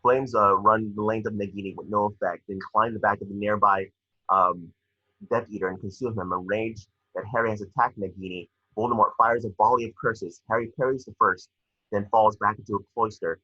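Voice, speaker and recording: A man narrates screenplay action in a lowish, husky voice with a forward, bright edge. He reads stage directions as at an amateur table read and trips over the odd word. The recording is slightly dull and homemade. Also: steady tone, brisk. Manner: stumbles over words while reading.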